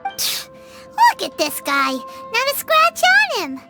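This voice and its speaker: A woman voicing a tiny, cheeky fairy companion with a squeaky childlike voice in a video game. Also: huge pitch swings, slow, heavily acted. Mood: scoffing. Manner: cheeky, speaks of herself in third person. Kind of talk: cartoonish video game voice acting. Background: prominent game music.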